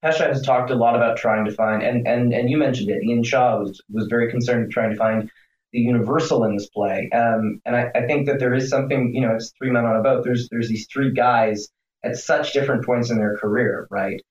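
A man's voice: medium pitch, a little fast. A man talks through a long interview answer, restarting sentences and piling on fillers as he goes. He sits away from the mic, so his voice sounds distant and muffled, with a roomy echo around it. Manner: animated, rambling, many restarts and fillers. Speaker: stage actor, interview guest.

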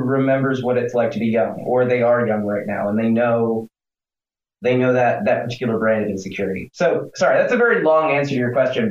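A man talks through a long interview answer, restarting sentences and piling on fillers as he goes. He sits away from the mic, so his voice sounds distant and muffled, with a roomy echo around it. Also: mid-range voice. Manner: animated, rambling, many restarts and fillers. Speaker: stage actor, interview guest.

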